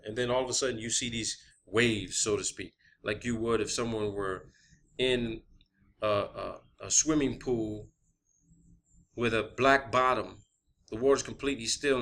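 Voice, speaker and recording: A man's voice: medium pitch, a bit bright, a little hiss or hum. A man hosting his own video channel, talking off the cuff in an emphatic, explanatory monologue and repeating phrases for stress.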